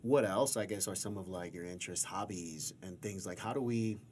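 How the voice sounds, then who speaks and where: A man hosting a casual interview, bantering and asking questions in a rambling, halting way. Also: sits low, a little mellow, a little hiss or hum. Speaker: interviewer, host.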